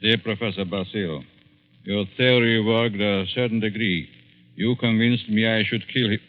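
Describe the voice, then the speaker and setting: An actor playing a scheming professor in a vintage radio drama, theatrical and exclamatory. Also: male voice, fairly low pitch, fairly even intonation, normal speed, lightly acted. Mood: reluctant reading. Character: scheming male professor, feigning shock, cornered and desperate. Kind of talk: 1940s-50s radio drama acting. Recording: dull, echoey old radio recording with music.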